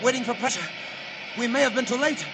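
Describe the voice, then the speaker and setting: An actor playing a grave, protective scientist father, with a husky voice in earnest 1940s radio acting style. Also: very high-pitched, fast, very noisy. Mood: urgent. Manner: earnest, theatrical delivery.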